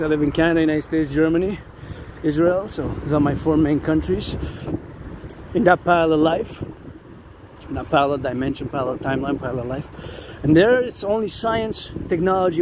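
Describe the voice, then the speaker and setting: A man delivering a rambling outdoor monologue, insistently repeating words and phrases. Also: fairly high pitch. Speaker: vlogger. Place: outdoors near a road with vehicles. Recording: loud outdoor traffic noise. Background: passing vehicles, urban ambience.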